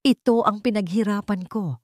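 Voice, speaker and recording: A woman's voice: mid-range voice, moderate pace, darkish tone, no echo, clean studio sound, pitched above the performer's own voice, lightly acted. A woman voicing an angry, scheming, greedy man who commands and threatens in a dramatic audio story. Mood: furious.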